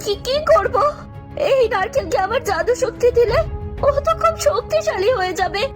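A woman's voice: extremely high pitch, animated, normal speed, voice pushed up. A woman voicing an earnest, self-sacrificing magical moon hero in a cartoon, with a dramatic, pleading delivery. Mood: pleading, worried. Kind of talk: cartoon voice acting. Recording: music under the voice.

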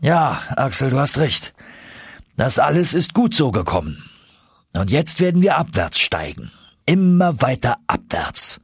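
Man acting a commanding older professor, husky voice. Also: medium pitch, big pitch swings, a little slow, no echo, studio quality, voice pushed up, full character voice. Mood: resolute. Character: older professor uncle, driven, commanding explorer.

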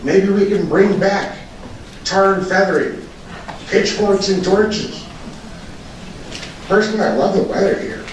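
A man's voice: high-pitched, a little slow, pauses often. A retired older man giving an angry, sarcastic public comment at a county board meeting. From England. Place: large council chamber. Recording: echoey hall from far off, noisy.

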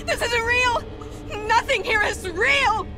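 A woman playing a terrified, fragile female soldier having a breakdown in a video game, halting and panicked. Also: very high-pitched, music in the background. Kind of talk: dramatic video game acting.

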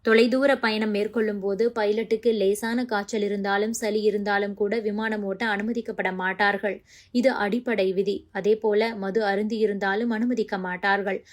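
A woman's voice: medium pitch, steady tone, normal speed. Woman narrating scripted news.

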